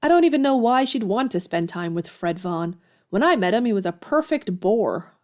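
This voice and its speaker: A woman's voice: mid-range voice, very animated, normal speed, a little mellow, close to an everyday voice. A woman playing a restless, blunt, envious young sister in a period audio drama. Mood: sardonic. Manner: blunt, sardonic.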